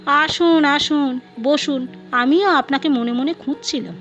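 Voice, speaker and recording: Woman acting young male suitor, high voice, courteous, probing, storybook dialogue, dull recording. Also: a little slow, fairly bright tone. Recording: dull lo-fi sound with music behind.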